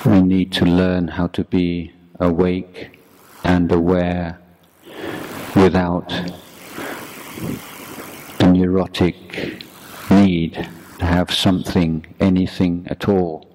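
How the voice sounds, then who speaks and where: A man guiding a meditation as a teacher, speaking in a measured, deliberate way in short phrases with long pauses. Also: deep voice, flat delivery, mellow. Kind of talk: guided meditation talk. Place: youth retreat. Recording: clearly noisy background.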